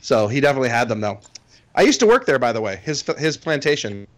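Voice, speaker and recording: A man co-hosting a podcast in casual banter, talking loudly with halting restarts and frequent laughs. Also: medium pitch, sing-song, dry recording. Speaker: podcast co-host.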